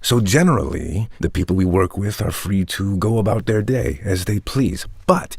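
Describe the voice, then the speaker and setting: Gravelly, persuasive vampire tech visionary. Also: male voice, deep voice, expressive, moderate pace, no room sound, full character voice. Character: charismatic, ambitious vampire tech entrepreneur, smooth visionary salesman. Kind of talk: dramatic monologue, polished pitch.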